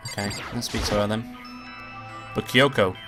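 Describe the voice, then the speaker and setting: A man with a stuffy, nasal voice from being sick reads a visual novel aloud as a let's-play host. He drops casual, cursing asides between lines, and game music plays clearly behind him. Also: fairly low pitch, fairly even intonation, moderate pace. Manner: swearing, offhand asides between read lines.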